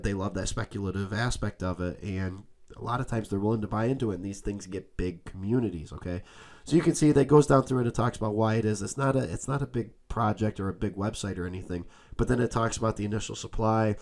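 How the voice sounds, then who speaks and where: A young man hosts a casual crypto commentary, talking loosely and repeating himself, often checking in with "okay?". He is fighting a cold, so his somewhat low voice comes out a little raspy. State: has a cold, a bit raspy. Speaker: crypto channel host. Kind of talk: casual screen-share commentary.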